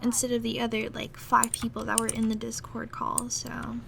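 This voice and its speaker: Woman acting as a sulky, creaky-voiced jealous gamer girlfriend. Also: fairly high pitch, monotone, moderate pace. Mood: annoyed. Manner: pouty, grumbling, irritated. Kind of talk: roleplay audio, half-improvised casual talk. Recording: noisy room, clattering computer keyboard. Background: loud keyboard typing and background noise.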